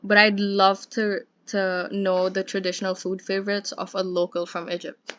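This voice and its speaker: A woman's voice: mid-range voice, level tone, moderate pace, fairly bright tone. Interviewer: young woman, chatty and friendly, muffled lo-fi audio. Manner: friendly, chatty, lots of likes and fillers. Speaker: student interviewer. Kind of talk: casual student interview. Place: at home.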